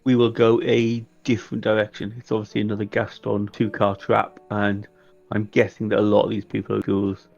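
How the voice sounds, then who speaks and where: A man's voice: fairly low pitch, monotone, brisk, creaky, a little mellow. A man talking casually as a player in a tabletop game, planning moves and putting questions to the game master. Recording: slightly dull audio with background music. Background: music.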